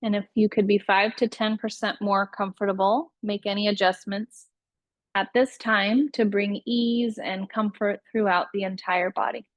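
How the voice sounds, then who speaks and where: A woman teaching a guided yoga nidra meditation, giving soothing instructions with gentle pauses between phrases. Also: medium pitch, fairly even intonation, no room sound, lo-fi sound.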